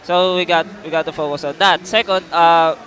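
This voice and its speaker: A man's voice: fairly high pitch, steady tone, moderate pace, fairly bright tone. A man from the Philippines, a game editor, answering in a hesitant way with many fillers.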